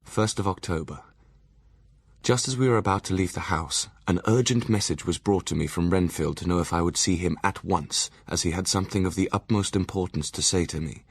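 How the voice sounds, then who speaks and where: An actor playing a stern, measured asylum doctor narrating his diary in an audio drama. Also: low-pitched, husky, no room sound. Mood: measured, grave.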